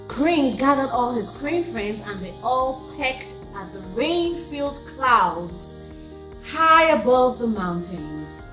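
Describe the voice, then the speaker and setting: A woman telling folktales to viewers in a deliberate way, repeating phrases for emphasis. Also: on the high side, reverberant. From Nigeria. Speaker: storyteller and singer hosting a folktale channel. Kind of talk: oral folktale storytelling to viewers.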